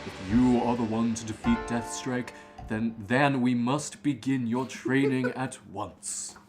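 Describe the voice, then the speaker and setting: A man's voice: mid-range voice, steady tone, unhurried, music in the background, lightly acted. A man voicing a stern, elderly blind martial arts master in an amateur fantasy story reading. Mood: grave.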